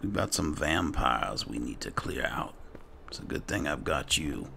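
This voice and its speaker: A man's voice: very deep voice, animated, unhurried, creaky. A man doing in-character roleplay commentary over a video game, often muttering dryly to himself. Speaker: gamer roleplaying as an alchemist.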